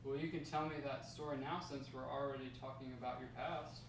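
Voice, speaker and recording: A man's voice: mid-range voice, steady tone, fairly quick, natural voice. Grandson role, read by a man: young, eager, inquisitive, table-read delivery, off-mic with faint noise. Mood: interested. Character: curious young grandson, eagerly prompting for the story. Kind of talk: table read of a dramatic script. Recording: echoey room, distant mic, faint noise.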